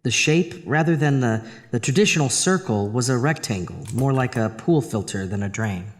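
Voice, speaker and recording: A man's voice: medium pitch, expressive, moderate pace, big-room sound, natural voice. A man acting as a troubled husband who narrates his own horror story in an audio drama. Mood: uneasy. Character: Arthur, troubled married man haunted by a drain. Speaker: narrator and lead actor.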